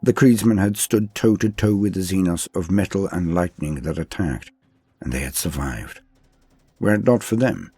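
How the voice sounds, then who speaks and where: A man narrates a grim war tale in a very low voice with a husky grain. His delivery is solemn and theatrical, as in a dramatic audiobook reading. Faint music plays underneath. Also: dark tone. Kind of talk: dramatic reading of a science fiction war story.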